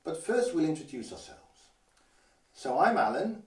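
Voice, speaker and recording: A man's voice: fairly high pitch, sing-song. Older Guernsey man teaching, roomy sound. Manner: measured, careful, slows down to model phrases. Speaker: language teacher. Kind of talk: instructional explanation. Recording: roomy echo, a little distant from the mic.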